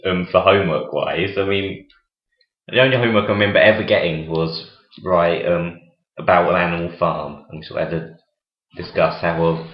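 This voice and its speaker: A young man with a deep voice hosting a casual podcast, talking hesitantly with many pauses and ums. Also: fairly even intonation. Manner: hesitant, fills with "um". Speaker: podcast host. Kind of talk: casual chat. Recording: echoey room, dull lo-fi sound.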